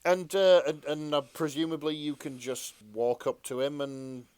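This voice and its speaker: A man's voice: on the high side, steady tone, moderate pace, darkish tone, clear, resonant tone, no room sound. A man co-hosting a podcast in casual banter, hesitant and self-deprecating as he talks. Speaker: podcast co-host.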